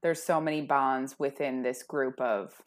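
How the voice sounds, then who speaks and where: A woman's voice: a bass voice, fairly even intonation, measured pace. A woman co-hosting a podcast, talking casually and bluntly in an informal book discussion.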